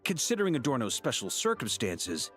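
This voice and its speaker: Game knight commander: mid-pitched, lively intonation, easygoing wry authority, faint music underneath. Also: male voice, brisk. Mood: jovial, commanding. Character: easygoing adult male grand master of knights. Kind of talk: video game voice acting.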